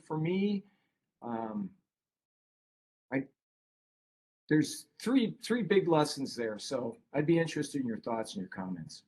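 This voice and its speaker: Husky-voiced man presenting a webinar. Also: fairly high pitch, darkish tone. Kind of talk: prepared story reading and discussion.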